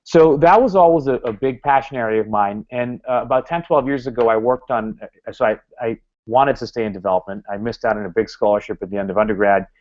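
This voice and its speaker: A man's voice: medium pitch, fast talker, a little mellow. A man giving a talk to a club, rambling with many fillers and restarts. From Canada. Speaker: guest speaker. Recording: dull lo-fi recording.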